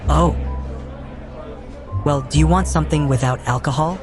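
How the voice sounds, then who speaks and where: A man's voice: a little high, moderate pace, music in the background, natural voice. A man acting an easygoing, self-effacing wizard office head in a fan audio drama, with a casual, understated delivery. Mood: casual, considerate.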